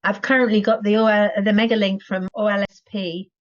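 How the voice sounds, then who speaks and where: A woman talks on a coaching call, hesitant and full of "um". Her voice comes through dull and lo-fi. She sits at a middling pitch for a woman. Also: flat delivery, brisk. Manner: hesitant, short replies with fillers. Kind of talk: casual chat. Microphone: video call. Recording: dull, lo-fi video call audio.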